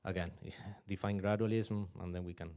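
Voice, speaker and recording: A man's voice: low voice, steady tone, normal speed, a little mellow, smooth. A man on a panel giving a short, matter-of-fact answer. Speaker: panelist, economist.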